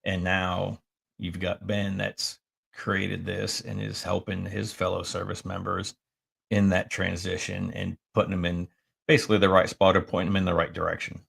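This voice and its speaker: An American man co-hosting a podcast, with a very low, gravelly voice full of vocal fry. He talks emphatically in loose chat, tagging "right?" onto his points. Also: level tone, normal speed, a bit bright. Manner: emphatic, filler-heavy, ends points with "right?". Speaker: podcast co-host. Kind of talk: casual chat.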